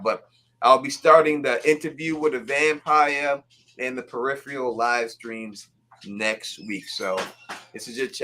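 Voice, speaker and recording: Host: man, bright tone, upbeat shout-outs, voice a little raspy. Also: mid-range voice. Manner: upbeat, shout-outs to viewers, repeats words. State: voice getting raspy. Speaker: live stream host. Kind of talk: casual live stream chat.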